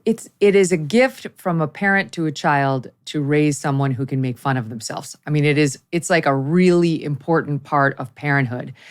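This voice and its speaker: A woman hosting an interview, asking lively, teasing questions with emphasis. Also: low voice. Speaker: talk show host. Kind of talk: conversational interview.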